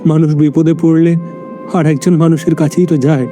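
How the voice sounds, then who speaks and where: A man's voice: fairly high pitch, level tone, a little fast, mellow, faint music behind, lightly acted. A man voicing a poor, humble young villager in a cartoon story, pleading desperately and respectfully. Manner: pleading, deferential.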